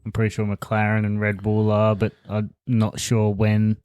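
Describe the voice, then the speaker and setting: A man's voice: on the low side, completely flat delivery, normal speed, a little mellow, clear, resonant tone, no echo, clean studio sound. A man co-hosting a sports podcast in an informal, somewhat hesitant chatty way. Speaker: podcast co-host. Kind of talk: casual sports chat.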